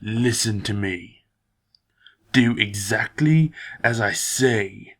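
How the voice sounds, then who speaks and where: A man's voice: on the low side, slow pace, fairly bright tone, exaggerated voice. A man playing a cruel, domineering stepfather in an audio drama, sneering and menacing as he talks. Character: cruel, domineering, hard-hearted evil stepfather, a businessman.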